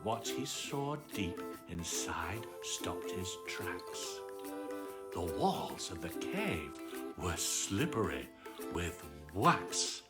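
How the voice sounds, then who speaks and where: Woman telling a kids bedtime story, slow n super swoopy, low voice, music behind. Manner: dramatic, measured delivery with drawn-out words. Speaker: storyteller reading aloud. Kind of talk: expressive children's bedtime story reading.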